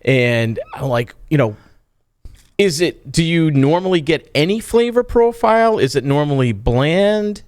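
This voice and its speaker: A man's voice: fairly high pitch, expressive, measured pace, a little mellow. A man co-hosting a podcast in casual chat, asking follow-up questions and often checking in with "right?". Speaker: podcast co-host.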